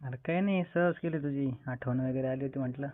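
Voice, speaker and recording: A man's voice: medium pitch, fast talker. A man with a Marathi accent speaking casually on a tinny phone call, hesitant and downbeat as he confides. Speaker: caller confiding in a friend. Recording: narrow tinny phone line.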